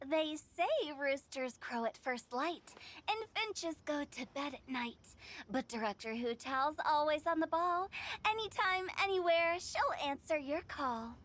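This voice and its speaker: A woman's voice: high voice, animated, takes it slowly, a bit bright, a little hiss or hum, exaggerated voice. A woman playing a playful, theatrical teenage girl in a video game, speaking in a teasing, declamatory way. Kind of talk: animated video game voice acting.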